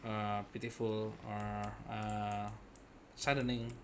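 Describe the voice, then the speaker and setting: A man's voice: on the low side, level tone, slow, slight background noise. Playful male gaming streamer, casual. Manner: playful, echoes phrases and repeats words. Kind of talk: casual playthrough commentary, reacting to dialogue. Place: indoor gaming setup. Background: game music and dialogue.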